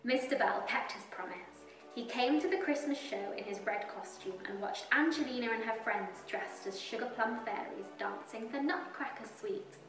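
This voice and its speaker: A woman reading a children's story aloud as storyteller, expressive and deliberate, with drawn-out emphasis. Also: on the high side, recorded from a distance. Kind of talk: scripted storybook narration for young children. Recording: roomy echo, music behind. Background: soft music.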